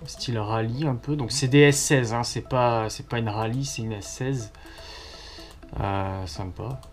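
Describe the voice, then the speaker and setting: A man's voice: medium pitch, steady tone, a little slow, faint music behind. A man from southwestern France chatting casually to camera as a vlogger, informal and slangy with plenty of swearing. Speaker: car vlogger.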